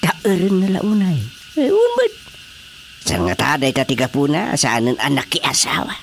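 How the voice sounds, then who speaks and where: An actor with an Ilocano accent playing an exasperated, excitable village chairman in a radio comedy drama. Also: a little high, moderate pace, gravelly, a little hiss or hum, full character voice. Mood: gossiping.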